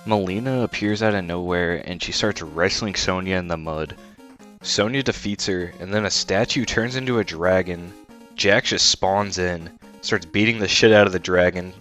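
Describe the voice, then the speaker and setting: A man with a low voice narrates a scripted critique. His wording is blunt, disparaging and casual, and quiet music plays behind him. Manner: blunt, disparaging, informal with swearing. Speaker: video essay host and film critic. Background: faint music.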